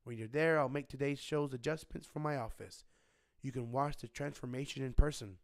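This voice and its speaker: A man's voice: mid-range voice, brisk, no room sound, clean studio sound, close to an everyday voice. An actor playing a knowing inventor and mentor in a radio drama, patient and assured as he instructs. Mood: kindly firm. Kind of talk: scripted radio drama dialogue.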